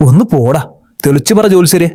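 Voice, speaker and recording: A man's voice: a little high, animated, brisk, mellow, natural voice. A man playing an eager, gullible young man desperate to marry, in a comedy cartoon. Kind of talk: comedy cartoon skit dialogue.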